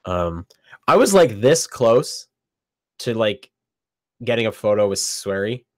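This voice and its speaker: A man's voice: on the low side, takes it slowly, no room sound, clean studio sound. A man with a cold and a stuffy, sniffly voice, hosting a casual podcast and animatedly acting out the lines of people in his stories. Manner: animated storyteller, acts out quoted lines. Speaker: podcast host. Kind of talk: casual chat.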